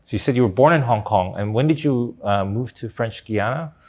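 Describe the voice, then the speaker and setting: A man's voice: mid-range voice, brisk, darkish tone. A middle-aged man with a Canadian accent, interviewing a guest conversationally as a podcast host.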